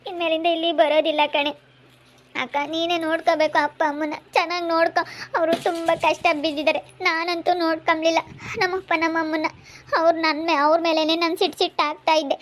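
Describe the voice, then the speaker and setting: A woman's voice: very high voice, level tone, fast, fairly bright tone. A woman playing a remorseful, tearful younger sister in an amateur family drama, with a plaintive, pleading delivery.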